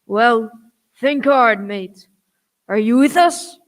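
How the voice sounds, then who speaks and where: A woman's voice: fairly high pitch, slow pace. A woman playing a cold, murderous pirate man with threatening talk in a radio play. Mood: menacing.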